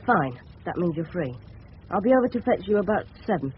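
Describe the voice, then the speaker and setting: A man's voice: high-pitched, sing-song, brisk. An actor playing an earnest, cocky English teenage boy in a radio drama, with a well-spoken southern English accent. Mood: buoyant, cocky. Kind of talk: 1970s radio drama acting. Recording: dull, noisy old radio broadcast.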